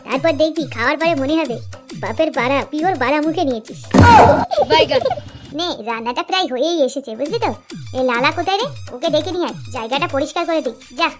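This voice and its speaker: A man's voice: extremely high pitch, fast talker, soft background music. A man voicing a rowdy, cocky village youth in a comic cartoon, with crude, slangy banter.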